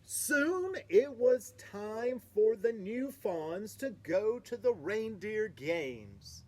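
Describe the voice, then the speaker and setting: A man reads a children's Christmas book aloud in a high, highly animated voice, taking his time over each phrase. He plays to his young listeners, chatting with them between lines. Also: slight background noise. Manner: playful, talks to the audience. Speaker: storyteller reading to children.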